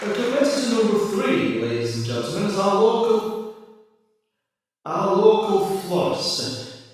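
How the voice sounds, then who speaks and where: A man acts out a smarmy contest compere, slow and halting, stumbling through his announcements with swooping pitch. He sounds far off in a very echoey hall, in a radio play. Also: very animated, soft background music, a faint crowd. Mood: smarmy, bumbling. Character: smarmy, bumbling male beauty contest compere.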